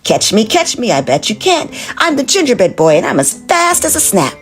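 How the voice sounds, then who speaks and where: A woman's voice: fairly high pitch, wildly swooping pitch, fairly quick, pitched above the performer's own voice. A woman voicing a cocky little gingerbread boy who taunts in a singsong chant. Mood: taunting.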